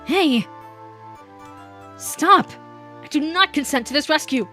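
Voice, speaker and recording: A hoarse woman voicing a sulky, snide male rabbit prince from a cartoon game, speaking proudly and sarcastically. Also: high-pitched, animated, slow, pushed and bright, faint music behind, voice pushed up, exaggerated voice. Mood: indignant.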